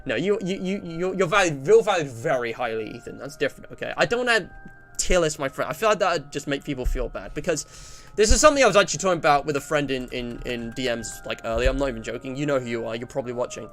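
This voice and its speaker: A man's voice: mid-range voice, lively intonation, fast, fairly bright tone, faint music behind. A twenty-year-old English man chatting casually on a livestream, rambling and often interrupting himself as he reads and comments. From England. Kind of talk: casual livestream chat, reading out survey and viewer messages.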